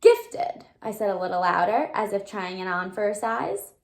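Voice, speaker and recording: A woman reading a children's novel aloud like a teacher, with animated storytelling and asides to explain or wonder. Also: medium pitch, a little fast, gravelly. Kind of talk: expressive children's book read-aloud.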